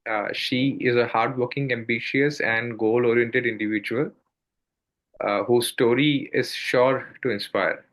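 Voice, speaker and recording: A man's voice: medium pitch, fairly even intonation, unhurried, a bit bright, rough recording. A man hosting an interview show, reading an introduction and asking questions with frequent uhs and you-knows. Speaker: podcast host.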